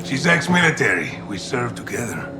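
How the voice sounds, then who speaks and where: An actor playing a hot-tempered, principled army commander in a game, indignant in manner and with a Mexican accent. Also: male voice, medium pitch, sing-song, normal speed, over loud music, natural voice. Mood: sardonic, accusing. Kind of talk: cinematic game acting.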